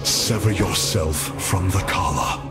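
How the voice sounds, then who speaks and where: An actor playing a noble, resolute alien commander in a video game, speaking formally and with command. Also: male voice, low-pitched, expressive, a little slow, loud music behind the voice. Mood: grave, urging. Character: noble alien warrior leader, resolute and solemn. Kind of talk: dramatic video game cutscene acting.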